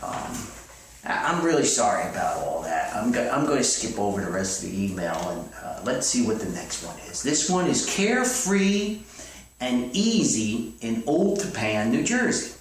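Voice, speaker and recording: A man hosting a rambling comedic mailbag podcast, reading letters in a folksy, halting way with frequent digressions. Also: mid-range voice, big pitch swings. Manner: folksy, halting, repeats himself, digresses often. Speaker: podcast host and author reading fan mail. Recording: roomy echo, distant mic, faint noise.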